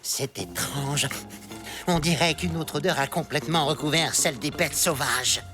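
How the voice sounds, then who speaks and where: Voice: medium pitch, moderate pace, music in the background, close to an everyday voice. A performer playing a pompous, obsessive cartoon pest exterminator with a grandiose, exclaiming delivery. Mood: puzzled, intrigued. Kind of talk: animated cartoon acting.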